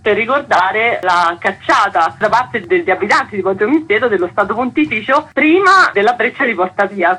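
A woman gives explanatory interview answers. Her medium-pitched voice is bright and tense, with a husky grain. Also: rough recording. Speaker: festival organiser, interview guest.

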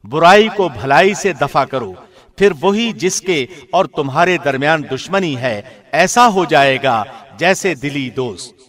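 A man reading a scripture translation from a script in a formal, solemn radio presenter's style with deliberate enunciation. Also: fairly high pitch, animated, a bit bright. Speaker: translation reader, radio host.

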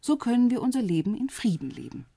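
Husky-voiced, self-assured middle-aged woman. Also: a little high, very animated, fast talker, mellow, dry recording, studio quality, exaggerated voice. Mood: ironic, assured. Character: self-assured, flirtatious grown Danish policewoman, gently ironic. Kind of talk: radio drama dialogue.

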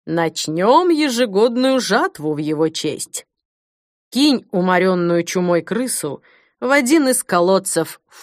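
A woman voicing a haughty, cruel male bishop in an audiobook, with a slow, soft, menacing drawl. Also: lowish voice, no echo, clean studio sound. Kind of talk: audiobook character acting.

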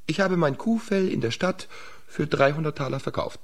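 An actor playing a sly, unhurried peasant trickster in a fairy-tale radio drama, with a gravelly, husky voice. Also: male voice, fairly high pitch. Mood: sly, matter-of-fact.

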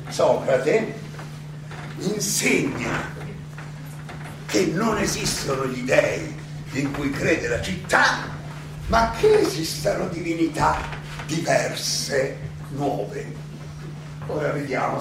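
Stage role: elderly ironic philosopher defending himself in court, rhetorical and insistent; very reverberant, distant, noisy live theatre recording. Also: male voice, sits high, heavily acted. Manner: rhetorical, halting then insistent, questioning. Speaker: stage actor.

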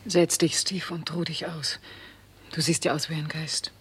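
An actress playing the caring female companion of a detective doctor in a 1960s radio crime drama, gentle in manner. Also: low voice, level tone, measured pace, slightly rough, exaggerated voice. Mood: hushed, concerned. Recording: noisy old radio recording, clicks.

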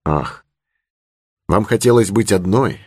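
A man's voice: fairly low pitch, lively intonation, takes it slowly, a little mellow, slightly rough, dry recording, clean studio sound, exaggerated voice. A man voicing a courteous, cautious young horseman protecting a lady in an adventure audiobook. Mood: disappointed, earnest. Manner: courteous, earnest. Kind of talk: audiobook character in adventure novel.

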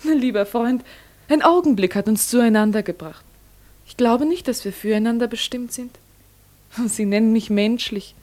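An actress playing a gentle, compassionate, wistful young woman in a German radio play, tender and reserved. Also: on the high side, moderate pace, lightly acted.

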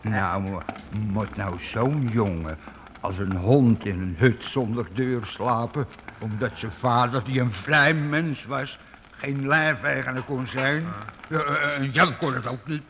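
A man playing a talkative, anxious medieval gatekeeper in a Dutch radio drama, speaking haltingly and earnestly with a gravelly voice. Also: mid-range voice, fairly bright tone. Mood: explaining. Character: talkative, anxious, pleading medieval castle gatekeeper with big belly. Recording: radio play with faint background noise.

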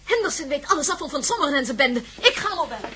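A high, animated female voice plays an anxious, earnest heroine in a radio play. A faint hum lies underneath. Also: racing, heavily acted. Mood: worried, reporting. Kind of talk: Dutch radio drama acting.

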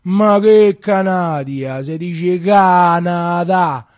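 An actor voicing a scornful know-it-all Roman boy scolding his friend in a mocking, drawn-out, emphatic Roman accent. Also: sits high, steady tone, darkish tone.

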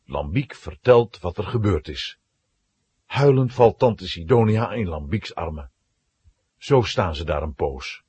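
A man giving dramatic, expressive scripted narration of a children's story. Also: low-pitched, a little slow, husky, no room sound.

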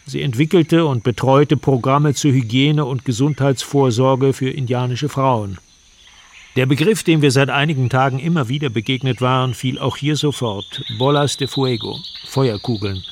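A man's voice: mid-range voice, normal speed, a little hiss or hum. A man giving sober, scripted storytelling narration in a radio drama.

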